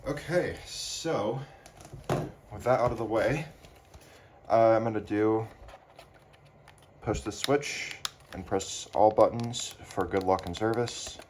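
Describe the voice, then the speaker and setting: A man giving casual, unscripted commentary as a hobbyist doing an unboxing, speaking haltingly with pauses. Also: fairly low pitch, a little mellow. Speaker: hobbyist unboxing weather radios. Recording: clear background noise, handling clicks.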